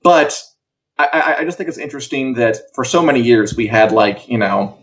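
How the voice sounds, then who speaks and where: A man's voice: medium pitch, animated, fairly quick, fairly bright tone. Casual, wry man, slightly dull audio. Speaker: co-host of an entertainment news live show. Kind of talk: casual opinionated chat about TV and games.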